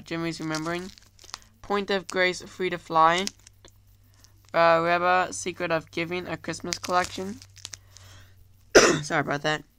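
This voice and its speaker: A man's voice: high voice, bright, forceful tone, a little hiss or hum. Rambling young man with a cold. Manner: rambling, filler-heavy, apologetic, keeps saying "guys". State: has a cold. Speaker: YouTuber. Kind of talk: casual video monologue listing items. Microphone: handheld camera.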